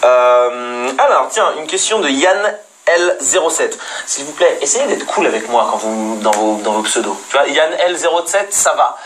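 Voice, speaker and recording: A man's voice: medium pitch, animated, moderate pace, fairly bright tone, slight background noise. A man hosting a casual live stream, reading out viewers' questions in an informal, teasing way. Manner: informal, teasing, filler-heavy banter. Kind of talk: casual, joking interview chat.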